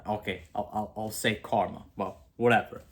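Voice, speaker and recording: A man's voice: lowish voice, steady tone, normal speed. A young man with a Romanian accent, talking casually to camera about philosophy, rambling and self-mocking with frequent asides. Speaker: video creator.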